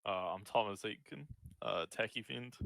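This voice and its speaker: A man's voice: on the low side, normal speed, gravelly, a bit bright, no room sound. A Scottish man in his twenties, answering interview questions in a laid-back, hesitant way. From Scotland. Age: 20s. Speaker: guest, esports player. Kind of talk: casual interview.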